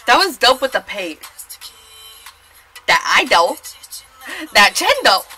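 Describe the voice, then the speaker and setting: Young woman, congested, bright sharp voice, animated pitch, informal reaction vlogger, faint music behind. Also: slow pace. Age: young adult. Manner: informal, slangy, rambling. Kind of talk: casual chat, excited music video reaction. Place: small bedroom with bunk bed, indoors.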